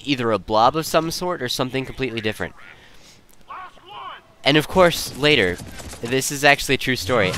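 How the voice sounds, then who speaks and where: A man's voice: mid-range voice, bright, forceful tone, slight background noise. A young man giving rambling, casual commentary in an easygoing, self-deprecating, digressive way. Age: young adult. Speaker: video creator and commentator.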